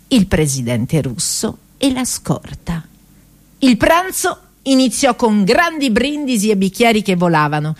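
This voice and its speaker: A woman actress narrating a comic story for radio theatre, grandly emphatic, with dramatic pauses. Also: on the low side, a little mellow.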